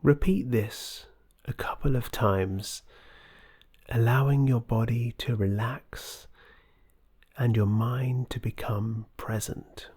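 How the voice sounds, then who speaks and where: Slow, breathy, dark-toned meditation guide. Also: medium pitch, fairly even intonation. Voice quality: soft, breathy, often near whisper. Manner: soothing, gentle, measured. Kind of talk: guided meditation, scripted narration.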